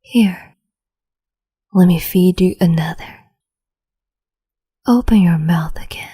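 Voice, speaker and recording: A woman's voice: on the low side, slow pace, dark tone, exaggerated voice. A woman playing a cruel, capricious empress in an ASMR roleplay, talking in a teasing, condescending, doting way and often whispering. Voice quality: breathy, frequent whispering. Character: cruel capricious female empress, young adult.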